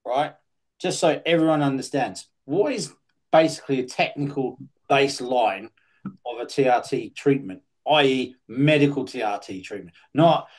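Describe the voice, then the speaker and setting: A man, hosting a casual podcast chat and talking bluntly, with sudden lively jumps in pitch. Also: normal speed. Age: late 40s. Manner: blunt and swearing, animated rises in pitch. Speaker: podcast host.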